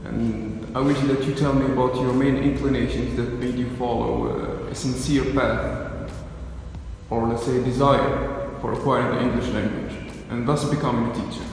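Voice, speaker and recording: A man's voice: mid-range voice, fairly even intonation, normal speed, a little mellow. A polite, formal male interviewer asks long, careful questions and addresses his guest as sir. His voice rings through a very echoey room with a long reverb tail and a faint hiss in the background.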